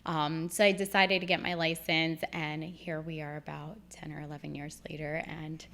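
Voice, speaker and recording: Lower-pitched woman telling her story. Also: normal speed, vocal fry. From Egypt. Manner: relaxed storytelling with frequent "um". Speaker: real estate broker, podcast guest. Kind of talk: casual interview.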